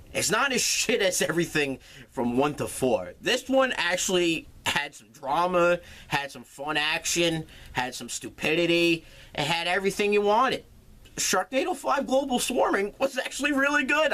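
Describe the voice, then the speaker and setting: A man hosting his own comedic movie review show, retelling a plot with crude, animated energy and swearing. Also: sits high. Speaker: film reviewer, show host.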